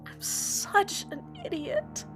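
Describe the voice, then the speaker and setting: A woman voicing a slow, remorseful radio host. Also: mid-range voice, over music, natural voice. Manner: earnest and halting, full of pauses. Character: heartbroken, apologetic community radio host. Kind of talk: fan radio drama monologue.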